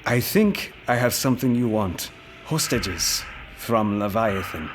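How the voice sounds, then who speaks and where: Lowish-voiced, hesitant, ambitious captain. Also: male voice, expressive, unhurried, very noisy. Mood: determined bargaining. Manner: hesitant yet determined. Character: nervous but ambitious male warlord's nephew, a captain. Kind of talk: audio drama acting.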